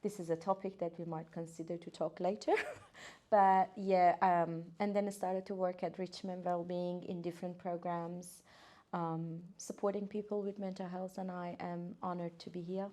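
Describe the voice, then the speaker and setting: A woman's voice: lowish voice, level tone, normal speed, dark tone. Earnest woman. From Iran. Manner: earnest, hesitant, many fillers and restarts. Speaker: guest, occupational therapist. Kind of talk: casual podcast interview.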